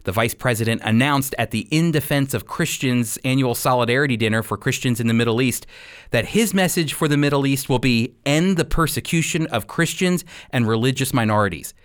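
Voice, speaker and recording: A man with a smooth, resonant voice hosts a faith-based radio program. His pitch sits in the middle for a male speaker, and his delivery is earnest, with plenty of emphasis. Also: fairly quick. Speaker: radio show host.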